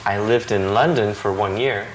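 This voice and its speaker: A man's voice: on the low side, normal speed, a little hiss or hum, rough recording. A man reading the answering part in a scripted practice dialogue, matter-of-fact with a joking touch. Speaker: dialogue reader answering questions.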